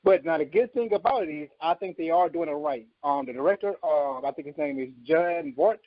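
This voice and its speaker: A man with a creaky voice giving emphatic opinions in a casual panel chat. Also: fairly high pitch, fairly quick, no room sound. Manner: emphatic, insistent, argues with examples. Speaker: podcast panelist. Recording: slightly dull, lo-fi audio.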